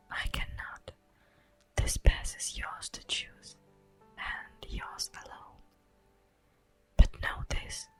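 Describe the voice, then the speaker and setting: Woman as a whispering, slow-spoken ancient elf woman. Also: lightly acted. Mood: gentle, understanding. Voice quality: breathy, whispered. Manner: slow, solemn, poetic delivery with long pauses. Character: ancient immortal elf woman, tender, wistful, solemn. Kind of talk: whispered ASMR fantasy roleplay monologue. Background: music.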